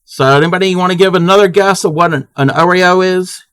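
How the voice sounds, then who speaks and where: A man with a fairly high, bright, forceful voice, talking slowly and loosely to his live chat. He breaks off and restarts his sentences as he crafts. The sound is dry and close. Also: smooth, dry recording. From Maryland, USA. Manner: chatty, answers chat, many restarts. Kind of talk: casual live-stream chat.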